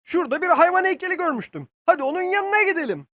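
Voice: very high voice, sing-song, fast, a bit bright, no room sound, clean studio sound, heavily acted. An actor voicing a small, eager, friendly worm creature in a children's cartoon, with an exclamatory, childlike delivery. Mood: cheerful, eager.

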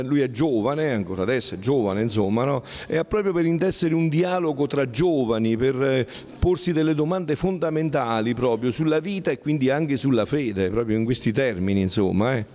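A middle-aged friar speaks earnestly in a reverberant hall, voice trailing on long echoes. He hesitates often, restarting phrases with soft fillers as he explains. The pitch sits in a plain middle range. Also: moderate pace, hardly any pauses. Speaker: friar and exorcist. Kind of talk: interview answers.

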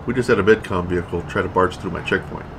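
Deep, gruff checkpoint commander, played by a man, clipped military delivery, audio drama with clear ambient noise. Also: fast talker, natural voice. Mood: curt, commanding. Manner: curt, military radio phrasing. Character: gruff male checkpoint captain, commanding and short-tempered. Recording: noisy vehicle and outdoor ambience behind.

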